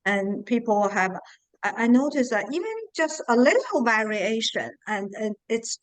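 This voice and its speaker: Woman, teacher, halting speech with fillers, dry sound. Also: fairly high pitch, big pitch swings, dry recording, rough recording. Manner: hesitant, many pauses and fillers. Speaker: Chinese teacher. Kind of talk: sharing in a group discussion.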